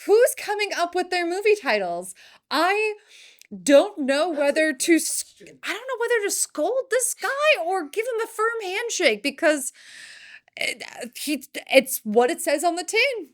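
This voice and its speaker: A woman's voice: extremely high pitch, very animated, measured pace. A woman hosting a film news show, delivering scripted commentary with playful, emphatic jokey asides.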